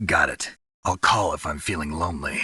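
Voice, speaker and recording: A battle-hardened game soldier with a very deep, husky voice and a hard, forceful edge. He is cool and cocky, teasing and flirting in a dry way. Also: normal speed, no echo, full character voice. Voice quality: gravelly, husky. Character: gruff, cocky, flirtatious legendary male soldier and infiltrator. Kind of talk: video game voice acting.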